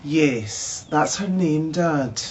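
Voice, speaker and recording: A woman's voice: sits low, lively intonation, extremely slow, a little mellow, dull audio, a little hiss or hum, full character voice. An actress playing a patient, weary grown daughter, reasoning with her father in a radio drama. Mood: firm, weary.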